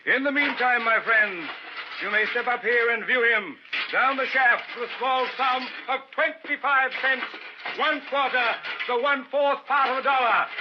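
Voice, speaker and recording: An American actor playing a pompous, scheming carnival professor in old-time radio horror, with grandiloquent, commanding patter. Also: male voice, very high voice, normal speed, noisy background. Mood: grand, hawking. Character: pompous, scheming carnival showman professor burying a man alive. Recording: muffled vintage radio recording with music.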